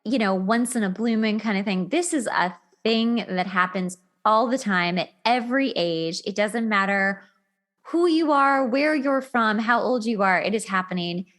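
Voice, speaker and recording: A woman hosting a casual relationship talk show, speaking in an animated, colloquial way. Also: mid-range voice, moderate pace.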